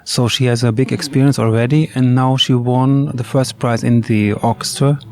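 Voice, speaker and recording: A man with a German accent speaking English as a second language, answering interview questions in a hesitant way with many pauses and filler sounds. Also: medium pitch, fairly even intonation, darkish tone. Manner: hesitant, many ums and uhs, slightly imperfect English. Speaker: orchestra bassist, guest. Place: outdoor courtyard.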